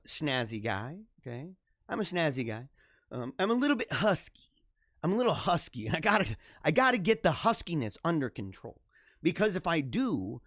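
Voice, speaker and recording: A man's voice: fairly high pitch, fairly bright tone, no echo, studio quality. A man hosting a live-streamed talk show, chatting casually with viewers and reading their comments. He chuckles often and repeats phrases as he talks.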